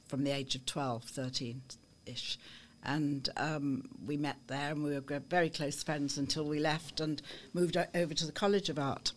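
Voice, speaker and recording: A woman's voice: sits low, a little hiss or hum. Older Liverpool woman telling stories. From Liverpool, England. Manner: warm storyteller, frequent ums, laughs while recalling. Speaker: guest. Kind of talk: reminiscing interview. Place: small office studio.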